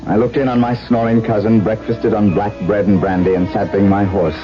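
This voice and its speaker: A man acts a haunted, lovelorn widower in a radio mystery. He is intense and melancholy, with a literary turn. The old recording is dull, with clear music behind. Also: fairly low pitch, flat delivery, fairly quick, close to an everyday voice. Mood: brooding, melancholy. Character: brooding, haunted widower, tormented by lost love. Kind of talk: dramatic first-person radio narration and dialogue.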